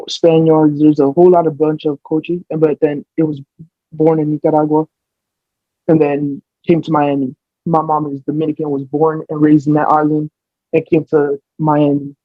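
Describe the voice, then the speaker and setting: A man's voice: a little high, no echo, narrow, tinny audio. A young American man in casual podcast talk, explaining at length with many restarts and fillers. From United States. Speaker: podcast guest. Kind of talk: casual chat.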